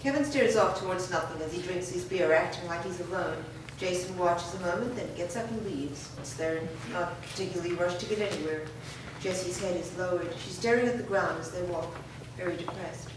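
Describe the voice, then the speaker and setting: A woman's voice: on the low side, brisk. Woman reading stage directions. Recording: echoey room from far off, noisy.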